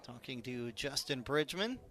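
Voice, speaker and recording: An American man calling live baseball play-by-play on the radio, measured between pitches and building to excited calls on big plays. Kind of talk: live radio sports commentary.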